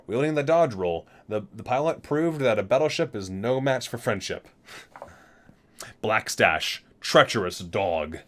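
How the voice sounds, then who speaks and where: A man with a medium-pitched, very animated voice reads aloud like a video creator presenting a book. He leans into titles and names with theatrical weight, then slips into casual asides in his own conversational way.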